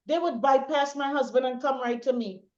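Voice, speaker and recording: A woman's voice: sits high, brisk. Talkative middle-aged woman, dull lo-fi sound. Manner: talkative, emphatic, repeats phrases for stress. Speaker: interview guest, bereaved mother. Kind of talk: personal testimony in conversation.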